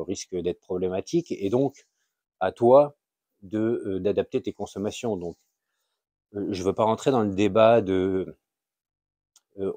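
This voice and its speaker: A man giving an informal, unscripted explainer as a video host, halting and often searching for words. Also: low voice, mellow, no room sound. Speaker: YouTube channel host sharing a tip.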